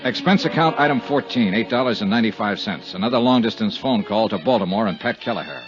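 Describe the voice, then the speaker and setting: A tough, matter-of-fact American investigator played by a male actor with a fairly low voice. He speaks tersely in 1950s radio detective style, on a muffled vintage recording with music in places. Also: lively intonation, fast talker, lightly acted. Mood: dry narrating. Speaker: actor playing lead investigator and narrator. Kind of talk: 1950s radio detective drama, narration and dialogue.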